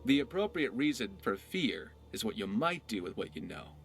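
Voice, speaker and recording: An actor playing a roguish, witty pirate companion in a video game, talking in a wry, philosophical, conversational way. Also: male voice, lowish voice, very animated, normal speed, creaky, soft background music. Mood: wry, persuasive.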